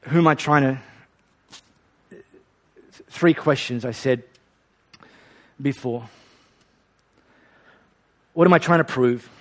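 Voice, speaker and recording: A man's voice: mid-range voice, slow, long pauses. A male pastor preaching a sermon and leading an altar call in a church. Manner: earnest, leads a repeat-after-me prayer. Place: hot church auditorium.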